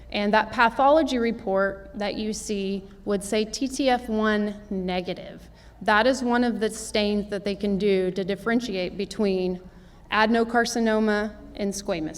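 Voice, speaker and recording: A woman's voice: medium pitch, clear, resonant tone. A woman nurse practitioner presenting slides at a conference, explaining the clinical details steadily. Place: conference hall. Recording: long hall reverb.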